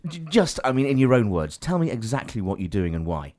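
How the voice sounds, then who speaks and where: A man hosting a radio interview, lively and a little stumbling as he asks his questions, with a laugh now and then. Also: lowish voice, brisk. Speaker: radio presenter.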